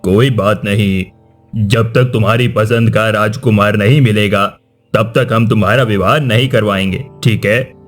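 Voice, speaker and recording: A man's voice: lowish voice, steady tone, normal speed, faint music behind, natural voice. A man playing a dignified, middle-aged king and caring father in a cartoon story. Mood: reassuring.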